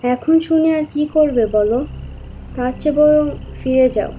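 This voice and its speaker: A woman's voice: sits high, a little slow, warm tone, a little hiss or hum, rough recording. A woman playing the sorrowful, resigned ghost of a wronged young woman in a horror cartoon, speaking plaintively and with reproach. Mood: bitter reproach. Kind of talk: dramatic horror cartoon voice acting. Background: music.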